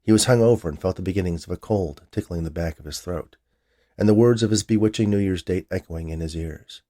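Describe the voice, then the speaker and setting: A man's voice: sits low, a little fast, warm tone. A man reading a short story aloud as a scripted narration. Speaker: writer reading his own short story.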